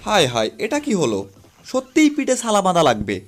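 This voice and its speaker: A man voicing an excitable, flustered cat barber in a comic cartoon dub, exclaiming dramatically over music. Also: high-pitched, moderate pace, full character voice. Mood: flustered panic. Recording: music under the voice.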